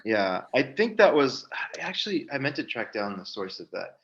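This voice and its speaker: A man co-hosting a film podcast, chatting casually in a measured, slightly hesitant way. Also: medium pitch, big pitch swings, highs cut off. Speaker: podcast co-host.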